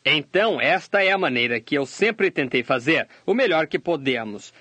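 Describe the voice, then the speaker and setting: A man voicing a sermon translation, delivering it steadily and measured. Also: fairly high pitch, lively intonation, sharp tone, husky, no echo. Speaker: sermon interpreter voice-over. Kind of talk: translated sermon read aloud.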